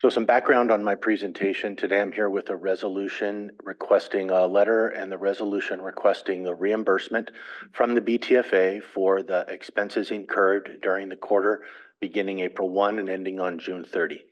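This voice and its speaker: Man, deep low voice, formal finance presentation, methodical, muffled lo-fi meeting recording. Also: little pitch movement. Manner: measured, methodical, reads out figures. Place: council meeting room. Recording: dull lo-fi meeting audio.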